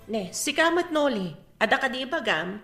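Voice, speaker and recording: Neighborly Filipino godmother, radio comedy; conversational, slightly high, roomy sound. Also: sing-song, moderate pace, fairly bright tone, faint music behind, exaggerated voice. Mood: casual. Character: neighborly godmother putting off a borrower. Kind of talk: comedy radio drama acting.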